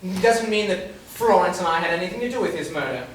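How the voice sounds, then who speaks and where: A man's voice: high voice, expressive, fast talker. An actor playing a defensive, flustered upper-class man suspected of murder, theatrical and stammering, in a staged murder-mystery reading. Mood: flustered, indignant. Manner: theatrical, stammering, old-fashioned genteel phrasing. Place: live staged reading in an echoey room. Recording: echoey room, distant mic, faint noise.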